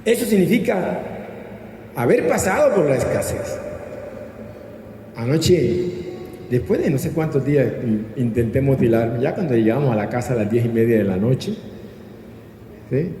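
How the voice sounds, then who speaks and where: Man, Colombian accent, pastor's sermon, call-and-response teaching, mellow timbre, lively intonation, reverberant hall, faint noise. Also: medium pitch, moderate pace, long pauses. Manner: didactic, prompting listeners to repeat and answer. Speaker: pastor preaching. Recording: echoey hall with long reverb, background noise.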